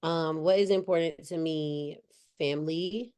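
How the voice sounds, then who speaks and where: A woman's voice: low-pitched, fairly even intonation, unhurried, warm tone, clear, resonant tone. A woman raised in Cleveland with a light Southern accent, chatting casually on a podcast and leaning on "like" a lot. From Cleveland, Ohio. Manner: chatty, many "like" fillers and restarts. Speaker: podcast guest. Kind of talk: casual conversation.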